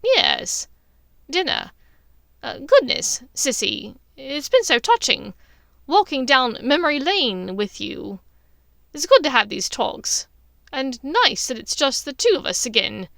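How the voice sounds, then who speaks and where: A villainous witch, played by a woman narrator, brash and scornful, pitched up and projected hard. She drawls with pointed, false casualness in a storybook reading, with a dry, close sound. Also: sing-song, slow pace, gravelly, fairly bright tone, no room sound, full character voice. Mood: scornful, falsely breezy.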